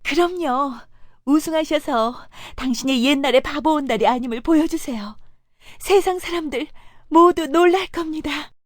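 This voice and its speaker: A woman playing a gentle, determined young princess in a children's folktale, with polite, formal speech. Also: high voice, normal speed, no echo, clean studio sound, close to an everyday voice. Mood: encouraging. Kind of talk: children's animated folktale acting.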